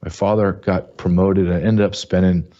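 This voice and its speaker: A man's voice: sits low, little pitch movement, moderate pace, dark tone, lo-fi sound. A man hosting his own talk show, speaking emphatically and often restarting and repeating words. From American South. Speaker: show host. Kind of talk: casual commentary monologue.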